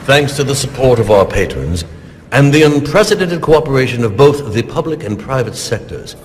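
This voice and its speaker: An actor playing a smooth, evasive corporate scientist in a game cutscene. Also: male voice, mid-range voice, big pitch swings, normal speed, a little hiss or hum, close to an everyday voice. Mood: speechmaking. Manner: polished, dismissive, controlled. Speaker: company scientist giving speeches.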